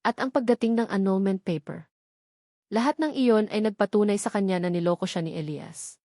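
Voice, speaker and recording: A woman's voice: medium pitch, normal speed, mellow, no echo, studio quality. A woman storyteller reading a scripted romantic drama in a dramatic narrating style.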